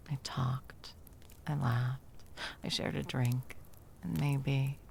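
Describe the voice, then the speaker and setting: A woman playing a sarcastic, self-doubting, hesitant Christmas elf in an intimate ASMR audio roleplay, with a creaky voice. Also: very deep voice, a little hiss or hum, heavily acted. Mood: tender, reflective.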